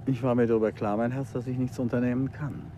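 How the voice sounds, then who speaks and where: An actor playing a composed, sharp-witted male detective in a 1950s radio play, with a dry, understated, teasing manner. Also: medium pitch, flat delivery, fast talker, highs cut off, a little hiss or hum, natural voice. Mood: knowing. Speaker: detective husband.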